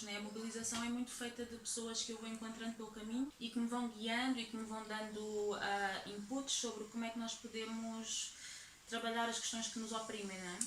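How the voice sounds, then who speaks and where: Thoughtful Portuguese-accented woman, even tone. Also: fairly high pitch. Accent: European Portuguese. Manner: thoughtful, steady, with frequent tag questions. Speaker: poet and activist interviewee. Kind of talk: reflective interview answers. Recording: echoey room with faint ambient noise.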